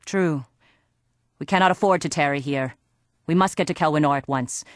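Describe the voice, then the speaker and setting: A woman voicing a young female elf who speaks formally and gravely in a game cutscene. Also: deep voice, no room sound, clean studio sound, close to an everyday voice. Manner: formal, measured, earnest.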